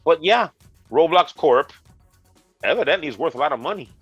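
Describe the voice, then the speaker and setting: A congested man co-hosting a gaming news chat, making dry, deadpan jokes as he explains the news. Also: on the high side, normal speed, slightly rough, no room sound, lo-fi sound, soft background music. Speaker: co-host, retro gaming specialist.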